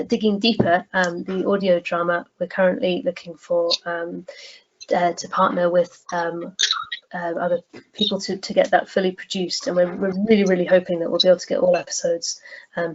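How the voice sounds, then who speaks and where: A woman presenting informally on an online call, friendly and inviting, with hesitant phrasing. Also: fairly low pitch. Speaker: guest presenter. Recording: dull, noisy online call with clicks.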